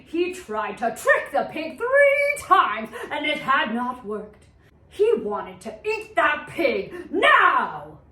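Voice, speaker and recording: A woman reads a children's tale dramatically, like a preschool teacher performing. Her pitch is very high and swings widely, and she takes her time. The room is echoey. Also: distant mic. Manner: theatrical, expressive. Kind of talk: dramatic storybook read-aloud for preschoolers.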